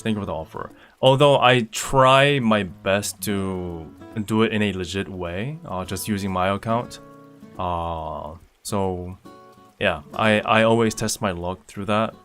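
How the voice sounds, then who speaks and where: A young man from Indonesia chats loosely with his viewers, full of fillers and restarts. His voice sits on the low side. Soft guitar music plays faintly underneath. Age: young adult. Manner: relaxed, rambling with fillers and restarts. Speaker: streamer. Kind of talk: casual chat reading viewer comments.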